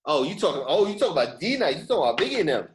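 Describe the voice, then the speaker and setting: A man hosting a podcast in casual, animated chat, using street slang and repeating phrases for emphasis. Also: fairly high pitch, very fast, a crowd in the background, rough recording. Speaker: podcast host.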